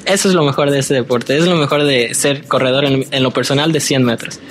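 A man's voice: mid-range voice, a little fast, a little hiss or hum. A man in his early twenties with a Mexican accent, a student athlete giving casual answers as an interview guest. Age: early 20s.

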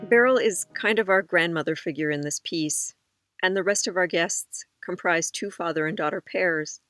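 A host reading scripted narration in a clear, even manner between interview clips. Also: mid-range voice, normal speed, faint music behind.

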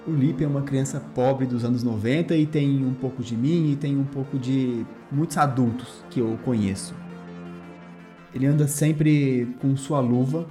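A man player introducing his character in a relaxed, joking way. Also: medium pitch, measured pace. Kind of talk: casual scripted introduction. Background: faint music.

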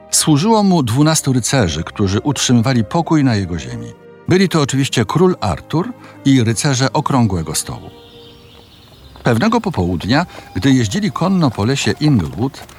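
A man narrating a scripted fairy tale in a measured, dramatic storytelling manner. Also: medium pitch.

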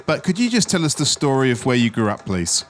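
A man's voice: medium pitch, sing-song, brisk. A man hosting an interview podcast, giving scripted introductions and putting questions to a guest.

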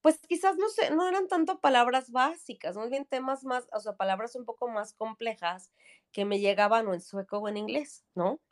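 A woman's voice: on the high side, moderate pace. Chatty woman from Mexico City. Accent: Mexico City Spanish. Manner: chatty, many fillers and tag questions. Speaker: podcast guest. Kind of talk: casual chat.

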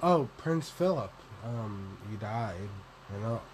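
A young man with a deep voice, rambling through a solo vlog, self-deprecating and swearing casually. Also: animated, slow, slight background noise, rough recording. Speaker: podcaster and vlogger.

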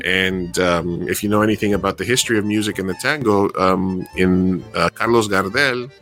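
A man's voice: low-pitched, fairly bright tone. A man in his forties telling his story casually as a podcast guest, slipping Spanish phrases into his English. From born in Colombia, raised between South America and the US. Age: 40s.

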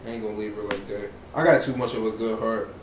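A man in his thirties co-hosting a casual chat, teasing and animated. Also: lowish voice, fast. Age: 30s. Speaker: podcast co-host. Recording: roomy room, faint hiss.

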